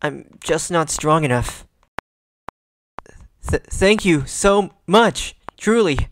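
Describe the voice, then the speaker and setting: A man's voice: a little high, lively intonation, slow pace, a little hiss or hum. A man voicing a meek, apologetic anime boy who hesitates and stammers. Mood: nervous.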